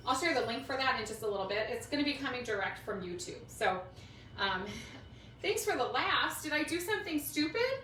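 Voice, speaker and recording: A woman's voice: high voice, big pitch swings, a little fast, pushed and bright. Woman, middle-aged, Minnesota accent, rambling casual livestream chat, echoey room, distant mic with faint noise. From Minnesota, United States. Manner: chatty, rambling, informal. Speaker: craft livestream host. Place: indoors at home, roomy space.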